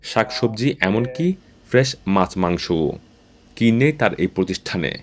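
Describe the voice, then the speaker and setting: A man with a slightly low voice narrates a news feature. He reads from a script as a reporter, in formal broadcast wording. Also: lively intonation, unhurried, a little hiss or hum.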